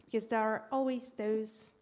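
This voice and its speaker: A woman whose first language is Polish, an educator commenting in a panel discussion in a measured, explanatory way with small restarts. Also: on the high side, fairly even intonation, a little mellow.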